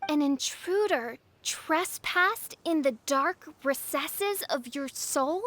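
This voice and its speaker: A woman's voice: high-pitched, lively intonation, slow pace, fairly bright tone, a little hiss or hum, exaggerated voice. A woman voicing a theatrical young noblewoman in a video game, pompous, florid and archaic in manner. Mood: ominous.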